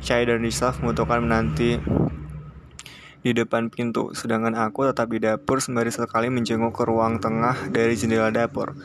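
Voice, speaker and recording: Male narrator for literary fiction, measured and flat in intonation, mid-range voice, light noise behind. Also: mid-range voice. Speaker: audiobook reader. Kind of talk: scripted literary narration in first person.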